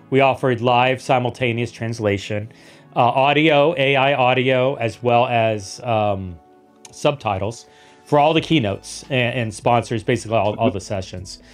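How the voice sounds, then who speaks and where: A man's voice: mid-range voice, measured pace. Man, podcast host, casual chat, rambling restarts, faint music behind. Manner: rambling with many restarts and repeats. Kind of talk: casual conversation.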